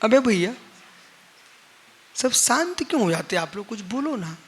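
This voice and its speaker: A man's voice: high voice, big pitch swings, a little slow, a little hiss or hum. A middle-aged man, a Jain monk, giving a conversational sermon to an audience, asking them questions and acting out the people in his stories. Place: live assembly hall.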